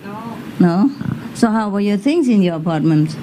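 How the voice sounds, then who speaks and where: A woman's voice: medium pitch, animated, normal speed. Chatty woman teacher in a noisy hall. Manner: chatty, teasing, laughs often, brief questions. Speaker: spiritual teacher leading a gathering. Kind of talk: informal question-and-answer with audience. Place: large indoor meeting hall with an audience.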